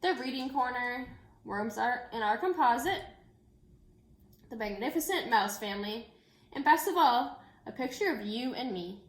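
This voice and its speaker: Higher-voiced woman reading aloud in an echoey room. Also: lively intonation, moderate pace. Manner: addresses children directly and informally. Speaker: elementary school teacher. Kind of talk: picture book read-aloud with chatty asides to children.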